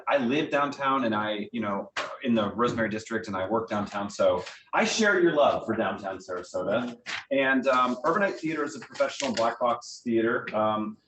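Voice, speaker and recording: Echoey, distant man addressing a group. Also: fairly bright tone. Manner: conversational, explanatory, addresses listeners directly. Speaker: guest speaker, theatre director. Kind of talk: informal talk to a group. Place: meeting room, speaking to a live group. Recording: distant echoey room with rumbling noise.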